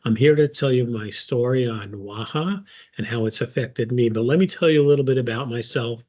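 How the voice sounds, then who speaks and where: A man in his sixties tells his own patient story in a casual, unhurried way, with many pauses and fillers. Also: medium pitch, darkish tone. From United States. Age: 60s. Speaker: patient advocate. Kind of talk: personal story, casual talk.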